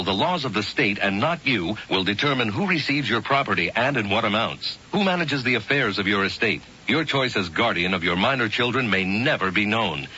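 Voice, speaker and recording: A man's voice: mid-range voice, sharp tone, a little hiss or hum. A man reading a mail-order radio commercial in an urgent, salesy manner. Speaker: radio ad announcer. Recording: dull old radio broadcast.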